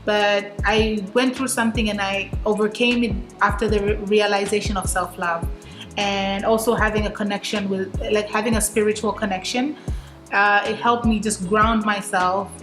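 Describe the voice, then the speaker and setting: Talkative tanzanian woman guest, lively, echoey room, music behind. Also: medium pitch, normal speed. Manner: animated and talkative, searches for words. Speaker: guest, HR manager. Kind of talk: casual interview.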